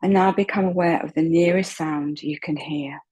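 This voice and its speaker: A woman leading a slow guided meditation in a soft, breathy voice, calm and unhurried, with long pauses. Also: low-pitched. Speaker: guided relaxation meditation instructor.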